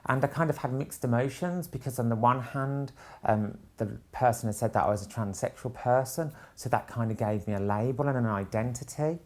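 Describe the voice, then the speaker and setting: A middle-aged interviewee speaks at a medium pitch. The delivery is reflective and a little hesitant, in the manner of someone giving personal testimony. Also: a little fast, few pauses, a little mellow.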